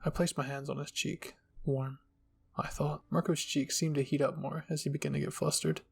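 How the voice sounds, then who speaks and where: A man's voice: a little high, little pitch movement, normal speed, gravelly, soft background music. A man narrating a scripted first-person story as the host of an audio channel.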